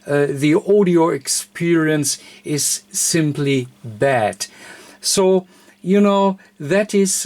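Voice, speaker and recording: A man who is not a native English speaker gives an informal technical tutorial, halting often with frequent uhs. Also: fairly high pitch. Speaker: tutorial presenter, radio hobbyist.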